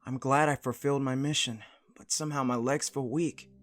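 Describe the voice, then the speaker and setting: A man's voice: mid-range voice, steady tone, brisk, fairly bright tone, close to an everyday voice. A man acting an earnest, dutiful but uneasy angel soldier in a sci-fi visual novel. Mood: wistful, uneasy.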